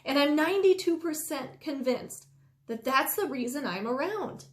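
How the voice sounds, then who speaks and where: Woman voicing an eager, high-pitched storybook cat. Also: lively intonation, moderate pace. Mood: earnest, determined. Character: cheerful curious young male library cat, eager to help. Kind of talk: children's storybook read-aloud. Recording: roomy indoor echo.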